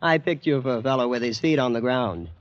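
Male actor as spoiled young heir, American, boastful, nonchalant, animated intonation, dull vintage radio sound. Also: mid-range voice, brisk, no echo, close to an everyday voice. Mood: smug, casual. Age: young adult. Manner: nonchalant, smug. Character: blasé, boastful young heir of a fabulously rich family. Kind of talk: 1950s American radio drama acting. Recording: muffled vintage radio recording.

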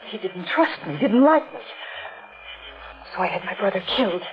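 An actress playing a cold, scheming murderess in a 1940s radio thriller, hard, scornful and matter-of-fact. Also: female voice, mid-range voice, animated, normal speed, a crowd in the background, lightly acted. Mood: cold, confessing. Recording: noisy, muffled old radio broadcast.

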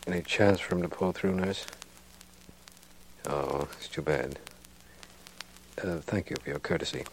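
A deep-voiced district attorney, courteous and grave. The old 1940s radio drama recording carries faint noise. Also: male voice, measured pace, rough recording. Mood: solemn.

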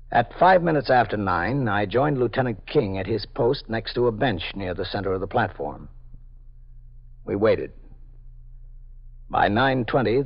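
An actor playing a police captain who narrates events as a clipped, factual report, in a 1950s radio drama. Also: male voice, on the low side. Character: steady, matter-of-fact male police captain. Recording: dull old radio broadcast recording.

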